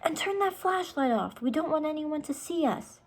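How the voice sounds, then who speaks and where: A woman's voice: sits high, expressive, normal speed, slight background noise, pitched above the performer's own voice. A woman voicing a cautious, bookish older brother in a storybook, serious and pedantic. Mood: annoyed, hushed. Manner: pedantic, worried, exasperated.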